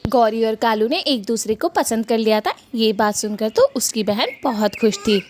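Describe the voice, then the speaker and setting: A woman's voice: on the high side, lively intonation, normal speed. A woman narrating a cartoon story in a storytelling style.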